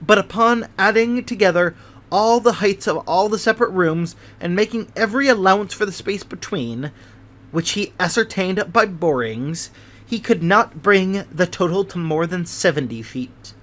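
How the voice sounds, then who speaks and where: A man voicing an excitable, fragile hypochondriac gentleman who chatters eagerly in a high voice, in a storybook reading. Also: normal speed. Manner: high voice rising above the noise, eager chatter.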